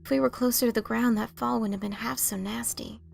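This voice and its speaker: A woman voicing a brash, adventurous pegasus mare in a theatrical, expressive fan fiction reading. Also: fairly high pitch, brisk, gravelly, faint music behind. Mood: earnest. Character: brash adventurous pegasus mare, writer, bickering older sibling.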